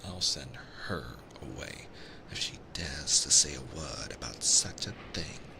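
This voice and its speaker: A man's voice: low voice, very animated, moderate pace, gravelly, background noise, pitched above the performer's own voice, heavily acted. A man voicing a spoiled, sickly, commanding young boy in a bedtime storybook reading. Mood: grandly commanding. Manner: grand, demanding. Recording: constant loud ocean-wave ambience behind voice.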